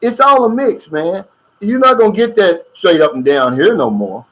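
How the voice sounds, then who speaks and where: A man's voice: high-pitched, expressive, normal speed, a bit bright. A middle-aged man hosting a casual livestream, reading viewer comments and chatting loosely with lots of fillers and asides. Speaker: YouTube channel host. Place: lives in the Philippines.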